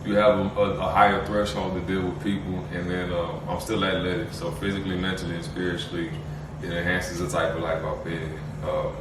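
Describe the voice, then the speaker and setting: A man's voice: sits low, little pitch movement, fairly quick. A man from Nigeria whose first language is Igbo, talking in a laid-back, slangy way on a podcast panel. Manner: laid-back, slangy, talks freely at length. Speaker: panel guest. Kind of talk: casual panel chat. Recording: echoey room, mic at a distance, noisy.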